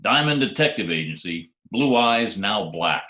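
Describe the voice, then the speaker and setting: Man playing a low-voiced, wisecracking private eye. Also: a little slow. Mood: deadpan. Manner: wry, deadpan wisecracking. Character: wisecracking, hard-boiled private detective, flirty and unflappable. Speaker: lead actor and narrator. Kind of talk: old-time radio detective drama, first-person narration. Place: at home, recorded remotely. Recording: roomy remote home recording, music behind.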